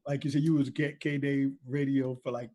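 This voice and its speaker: A man's voice: medium pitch, flat delivery, a little fast, mellow. A man hosting a casual interview, chatting informally and agreeably with short acknowledgements. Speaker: interview host.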